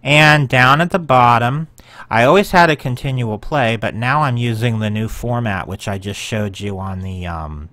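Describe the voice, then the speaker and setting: A man's voice: mid-range voice, moderate pace, sharp tone, dry recording. A man casually walking viewers through his website in a screen-share, rambling a little with frequent ums and self-corrections. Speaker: website owner giving a tour.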